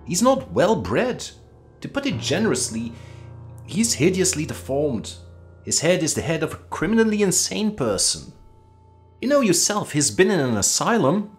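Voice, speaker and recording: A man voicing a brash, hearty young army officer, loud and impatient, with soft guitar music behind. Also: a little high, sing-song, normal speed, pitched above the performer's own voice.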